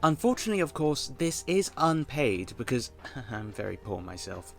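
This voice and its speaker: A man's voice: medium pitch, sing-song, moderate pace, creaky, soft background music. A man narrating a scripted casting call for a fan audio drama, articulate and formally worded with chatty asides.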